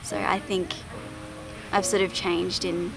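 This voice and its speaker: A woman calmly describing her experience in a measured, gentle way. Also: on the low side, creaky, low-quality recording. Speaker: ceremony participant. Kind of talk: personal testimony. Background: music.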